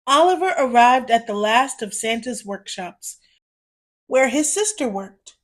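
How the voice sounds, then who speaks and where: A woman reads a children's story aloud, narrating expressively and deliberately for young listeners. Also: on the high side, expressive.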